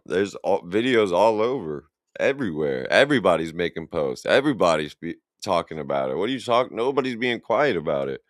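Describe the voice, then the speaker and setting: A man's voice: deep voice, very animated, brisk, no room sound, clean studio sound. A young man, reacting to a video in blunt, profane, rambling casual commentary. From Illinois, USA. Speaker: reaction streamer.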